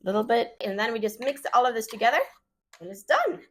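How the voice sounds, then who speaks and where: A woman with a Swedish accent, a native Swedish speaker, giving a relaxed, chatty cooking demonstration in her home kitchen, with plenty of fillers. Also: on the high side, quick pace, slight background noise. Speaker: cooking show host.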